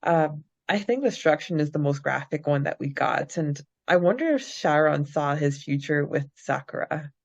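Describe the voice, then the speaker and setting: Lowish voiced lady podcast host, giggly casual recap chat, kinda muffled lofi audio. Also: expressive, moderate pace, hardly any pauses. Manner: giggly, chatty. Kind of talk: casual book-club style recap chat.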